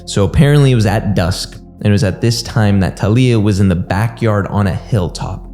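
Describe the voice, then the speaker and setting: Low, mellow young man telling a story. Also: normal speed. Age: 20s. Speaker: host of a documentary channel. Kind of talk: informal storytelling to camera. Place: small indoor room at home. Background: background music.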